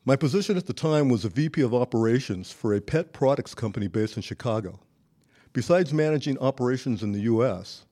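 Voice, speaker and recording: A man with an American accent narrating scripted personal stories in a measured, articulate, audiobook-like way. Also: mid-range voice, warm tone. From Los Angeles, United States.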